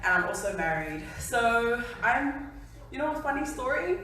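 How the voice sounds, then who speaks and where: A woman's voice: a little high, big pitch swings, normal speed, fairly bright tone, recorded from a distance. Young woman speaking in an echoey hall. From New Zealand. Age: 20s. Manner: chatty, laughing, self-deprecating. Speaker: speaker at a company event. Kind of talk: personal story speech to an audience.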